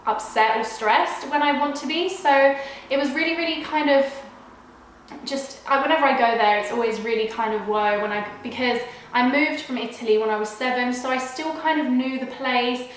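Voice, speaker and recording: A young woman chatting to camera at home with a nasal, under-the-weather sound, her voice sitting a little high. She rambles casually, repeating words and restarting sentences. The room around her is very echoey. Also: steady tone, moderate pace, a little hiss or hum. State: unwell with a cold. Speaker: vlogger.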